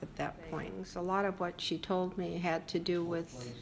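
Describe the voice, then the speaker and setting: An older woman being interviewed about her family history, speaking reflectively and pausing often with fillers. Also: on the low side, steady tone, vocal fry, noisy background. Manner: reflective, hesitant with frequent fillers.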